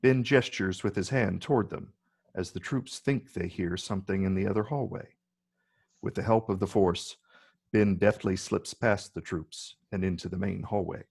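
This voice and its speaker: Male narrator for a script reading: measured, descriptive, husky with a creaky low edge. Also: darkish tone. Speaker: narrator reading stage directions. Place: virtual read, recorded remotely.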